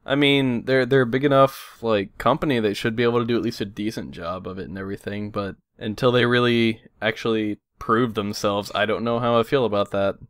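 Rambling, low-voiced man on a podcast. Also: fairly even intonation, fairly quick, hardly any pauses, clean tone, dry recording, clean studio sound. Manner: rambling, swears casually, many fillers. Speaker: podcast host. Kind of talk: casual chat.

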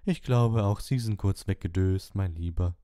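A man voicing a dreamy, airy older female divination teacher in an audiobook, with a gentle, cooing, coaxing manner. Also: low voice, normal speed, clear, resonant tone, no room sound, studio quality, voice pushed up, lightly acted. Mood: indignant, patronizing.

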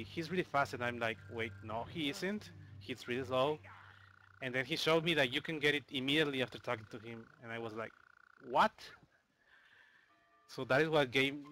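A man's voice: medium pitch, a bit bright, rough recording. A man from Mexico with a Mexican accent, casually explaining a game speedrun as he plays, with loose fillers. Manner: explains while playing, loose and chatty, with fillers. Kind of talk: live commentary on a speedrun.

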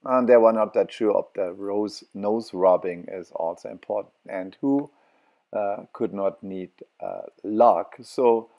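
Slow, deep-voiced man with halting speech. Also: warm tone, muffled recording. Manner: halting, many fillers and restarts. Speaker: travel vlogger. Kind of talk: walking tour narration.

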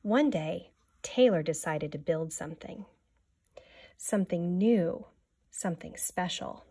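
Slow, expressive woman reading aloud, slightly breathy. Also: fairly low pitch. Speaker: teacher reading a picture book aloud. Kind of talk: expressive children's read-aloud.

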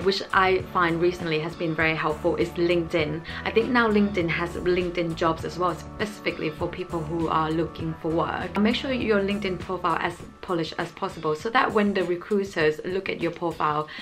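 A young woman speaks to camera in a small room at home, earnest and explanatory. Her voice sits a touch low for a woman, and soft music plays faintly beneath. Her English carries small grammar slips. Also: fairly quick. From Thailand. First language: Thai. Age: young adult. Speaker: channel host. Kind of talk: scripted advice vlog to camera. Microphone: camera framing, head and shoulders.

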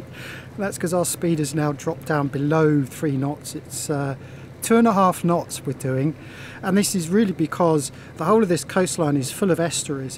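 Fifties guy vlogging from his sailboat, slightly high voice, faint boat noise behind. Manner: relaxed, chatty commentary. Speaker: sailing vlogger. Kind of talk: casual on-camera narration. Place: outdoors on a sailboat deck at sea. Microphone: small clip-on mic.

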